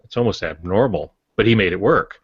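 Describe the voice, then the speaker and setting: Older man, very low pitch, halting reflective explanations, podcast co-host, dull lo-fi audio. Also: slightly rough, no room sound. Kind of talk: casual discussion.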